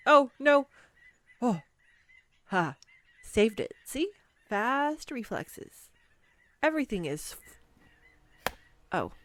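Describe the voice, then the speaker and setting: A woman's voice: medium pitch, no room sound. A woman playing a clumsy, nervous young woman on a first date in an audio roleplay, rambling and self-deprecating. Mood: embarrassed, sheepish. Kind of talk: intimate audio roleplay monologue.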